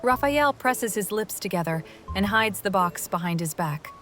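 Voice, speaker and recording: A woman's voice: mid-range voice, animated, normal speed, a bit bright, soft background music. A woman reading a scripted first-person game story, moving between narration and dialogue. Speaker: voice-over reader of a game story.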